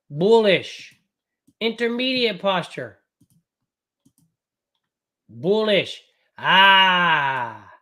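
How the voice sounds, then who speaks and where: A man running a live trading class, recovering from a cold, talking in a loud, brash and profane way with lots of rambling asides. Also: high voice. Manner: casual, fast and rambling, with frequent "right?". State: recovering from a cold, voice not fully back. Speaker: day trading coach.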